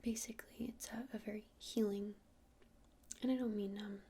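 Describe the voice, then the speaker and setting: A woman's voice: medium pitch, steady tone, dark tone. Woman playing a whispering, soothing witch shopkeeper. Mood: soothing, unhurried. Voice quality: breathy whisper. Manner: unhurried, hesitant, soothing explaining. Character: gentle witch shopkeeper showing crystals to a customer. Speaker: ASMR roleplay performer. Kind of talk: whispered ASMR roleplay.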